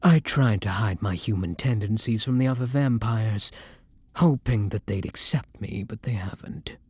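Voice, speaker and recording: Man acting as a tormented gentle vampire, low voice, very breathy, halting, intimate ASMR roleplay. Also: no room sound. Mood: sorrowful confession. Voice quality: breathy, hushed, dropping into whispers. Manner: slow, halting, dramatic pauses. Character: tormented, gentle male vampire torn between hunger and compassion.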